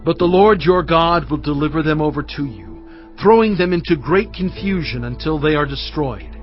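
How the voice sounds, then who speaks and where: Male actor as solemn prophet, exhorting, highish male voice, very expressive pitch, deliberate oratorical phrasing, audio drama, music behind. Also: close to an everyday voice. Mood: fervent warning. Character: Moses, solemn, impassioned male prophet exhorting his people. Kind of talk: dramatised scripture reading. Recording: dull, band-limited audio with music behind.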